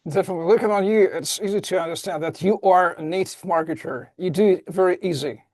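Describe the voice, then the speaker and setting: A man who speaks Russian natively hosts a podcast interview, enthusiastic and a little halting in his phrasing. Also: sits high, darkish tone, dry recording. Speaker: podcast host. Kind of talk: casual interview hosting.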